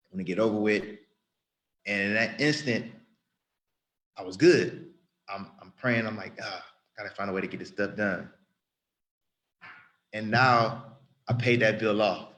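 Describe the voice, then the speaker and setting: A man of about thirty speaks in a slightly low voice, sharing an unscripted church testimony. He talks earnestly and loosely, circling back and repeating phrases as he goes. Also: unhurried, long pauses, a bit bright. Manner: earnest, rambling, with frequent restarts and repetition. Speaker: church member giving testimony. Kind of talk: spontaneous spoken testimony.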